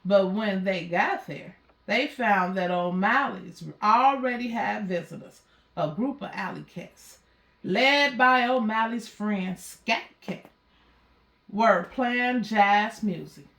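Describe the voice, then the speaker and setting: Unhurried woman reading a children's storybook. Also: mid-range voice, a bit bright. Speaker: storybook reader. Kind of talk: children's story read-aloud. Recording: roomy, echoey sound.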